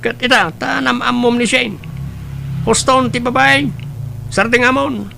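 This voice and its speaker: A man's voice: very high voice, fairly even intonation, a little slow, creaky, bright, forceful tone, a little hiss or hum, full character voice. A man playing a teasing, frank buddy in an Ilocano drama, opinionated and with an Ilocano accent. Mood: teasing, advising. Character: teasing, frank male buddy giving love advice. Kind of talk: Ilocano radio-style family drama acting.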